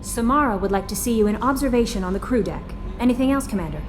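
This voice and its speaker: A woman's voice: on the high side, animated, fast, over music, natural voice. A woman playing a courteous, attentive ship's assistant in a video game, polite and professional. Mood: helpful, observant. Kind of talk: video game character acting.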